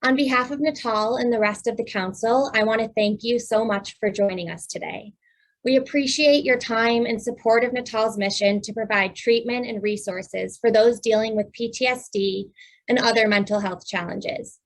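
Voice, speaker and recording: A woman co-chairing a council, reading a scripted introduction over a video call in an even, formal manner. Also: fairly high pitch, normal speed, rough recording.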